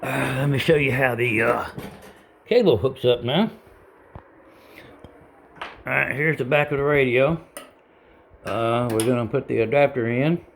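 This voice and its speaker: Male hobbyist tutorial voice: creaky and gravelly, folksy, unpolished, stop-and-start delivery. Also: mid-range voice, slight background noise. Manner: halting, folksy, plain-spoken. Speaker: hobbyist giving a how-to demonstration. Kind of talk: casual unscripted tutorial. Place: indoor home radio room.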